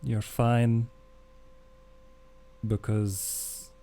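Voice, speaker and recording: A man roleplaying a wry, calm male vampire survivor in a tabletop game, with a measured, understated delivery. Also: medium pitch. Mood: probing, wry.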